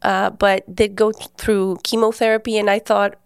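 A woman's voice: medium pitch, level tone, moderate pace, smooth, no echo, studio quality. A woman, hosting a podcast and telling a personal faith story in an earnest, conversational way. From Guatemala. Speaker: podcast host.

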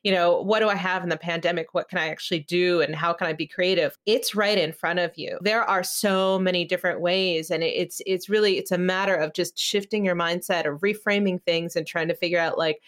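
A woman's voice: lowish voice, steady tone, moderate pace, a bit bright. Enthusiastic, emphatic woman podcast host. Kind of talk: casual conversational interview.

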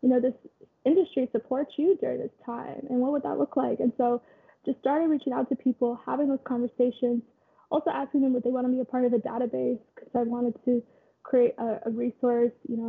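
Young woman guest, high voice, kinda husky and breathy, sounds like a phone line, chatty and loose. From Kenya. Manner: relaxed storytelling with frequent fillers and laughs. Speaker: guest, photographer and community founder. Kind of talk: conversational interview.

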